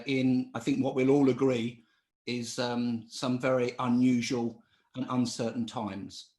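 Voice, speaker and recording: Halting male webinar host, echoey. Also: mid-range voice. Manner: halting, filler-heavy. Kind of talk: introducing speakers.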